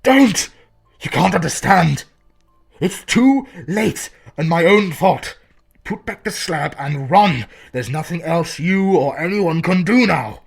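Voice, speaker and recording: A man voicing a desperate man trapped below a tomb, shouting frantic pleas to his friend above. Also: on the low side, animated, measured pace, gravelly, long pauses, breathy edge, dry recording, soft background music, exaggerated voice.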